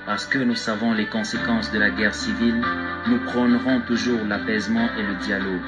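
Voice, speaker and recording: Man, lowish voice, solemn measured recital, loud guitar music under it. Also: little pitch movement. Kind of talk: solemn recited peace message.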